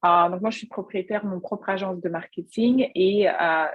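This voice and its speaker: Husky woman in her thirties. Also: mid-range voice, fairly even intonation, moderate pace, no echo. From Ukraine. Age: 30s. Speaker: guest panelist, volunteer community navigator. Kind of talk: informal spoken self-introduction. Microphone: video call. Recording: dull lo-fi online call audio.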